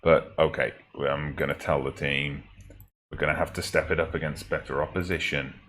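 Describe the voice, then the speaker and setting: Very deep-voiced man, slightly raspy after flu. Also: steady tone, moderate pace, fairly bright tone, slight background noise. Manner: chatty, self-correcting, wry asides. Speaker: gaming video host. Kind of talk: casual game commentary.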